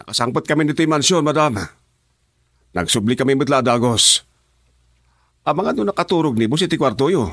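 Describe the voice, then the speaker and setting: A man's voice: mid-range voice, animated, brisk, long pauses, fairly bright tone, no room sound. A man with an Ilocano accent playing a dutiful, deferential underling in a radio drama. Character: dutiful male underling reporting to his boss's wife.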